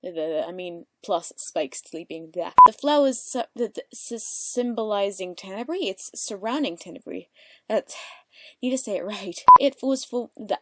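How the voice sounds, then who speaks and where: A woman's voice: medium pitch, expressive, a little slow, sharp tone. A woman in her twenties with an Australian accent, giving casual explanatory commentary as the creator of an audio drama.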